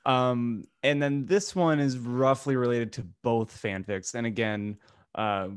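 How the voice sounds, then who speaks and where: A man's voice: medium pitch, measured pace, smooth, dry recording, studio quality. A man co-hosting a podcast in casual chat. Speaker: podcast co-host.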